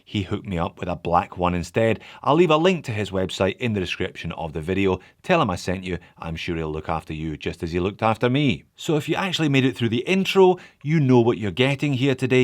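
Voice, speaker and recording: A man's voice: fairly low pitch, expressive, fairly quick, hardly any pauses. Male host, middle-aged, nasal from a cold, dry wit, direct-to-camera watch review patter. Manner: dry humour, conversational asides to viewers. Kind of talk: scripted video review.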